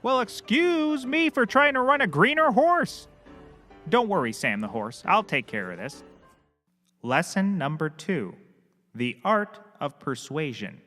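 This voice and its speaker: A hapless, self-important male private eye played by a comic actor speaks in a high, bright voice with wildly swinging pitch. He is eager and theatrical, as in a comedy series. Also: very animated, a little slow, faint music behind, heavily acted. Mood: breezy, eager. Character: hapless, overconfident, goofily enthusiastic male private detective. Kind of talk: scripted comedy acting.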